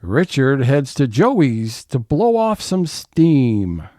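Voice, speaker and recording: An actor playing the narrator who sets scenes in an audio comedy. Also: male voice, medium pitch, big pitch swings, slow, dark tone, no room sound, studio quality. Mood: announcing. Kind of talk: scripted scene-setting narration.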